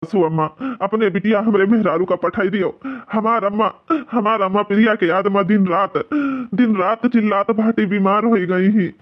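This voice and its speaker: An anxious village son-in-law played by a man, high-pitched for a male, with an Awadhi accent. He begs humbly and repeats himself in melodramatic cartoon style, on a dull lo-fi soundtrack. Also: steady tone, normal speed, few pauses, natural voice. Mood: pleading, apologetic. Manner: pleading, repetitive, melodramatic. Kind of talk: Awadhi family comedy cartoon dialogue.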